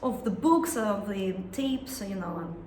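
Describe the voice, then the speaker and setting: A woman's voice: mid-range voice, unhurried, darkish tone. Russian-accented woman, thoughtful, echoey room. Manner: thoughtful, searching for words, frequent restarts. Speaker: singer and songwriter. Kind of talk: interview conversation. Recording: echoey room with some noise.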